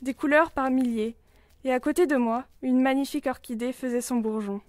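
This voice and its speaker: A woman's voice: high-pitched, fairly even intonation, a little fast, darkish tone, dry recording. A young woman reading a scripted, intimate literary journal as a narrator. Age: young adult. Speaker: student narrator.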